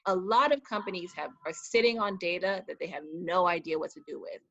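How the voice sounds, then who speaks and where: A woman's voice: on the low side, brisk, no room sound. A woman on a webinar panel, explaining things in an informal, conversational way. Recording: dry, dull lo-fi sound.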